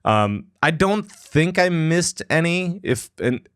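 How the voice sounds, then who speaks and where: Rambling enthusiastic podcast host, dry sound. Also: male voice, a little high, animated, slow, fairly bright tone, clean tone, dry recording. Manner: rambling, enthusiastic, many restarts. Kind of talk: casual gaming podcast chat.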